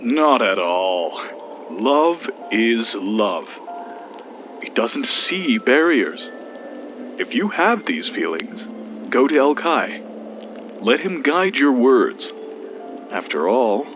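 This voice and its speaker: A man playing a warm, encouraging brotherly deity. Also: medium pitch, sing-song, slow, pauses often, dull audio, over music. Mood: reassuring. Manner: reassuring, earnest. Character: warm, encouraging brotherly male divine being. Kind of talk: fantasy audio drama acting.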